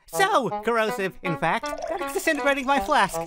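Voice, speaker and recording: A woman voicing a nervous, fussy, exasperated male mad scientist henchman in a cartoon comic dub, with music behind. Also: normal speed. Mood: proud, excited. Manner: fretful, highly expressive, fussy. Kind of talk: animated comic dub acting.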